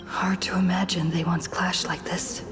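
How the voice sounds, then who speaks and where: A woman playing a hushed, curious observer of old memories. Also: on the low side, flat delivery, normal speed, close to an everyday voice. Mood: hushed, wondering. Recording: loud music behind the voice.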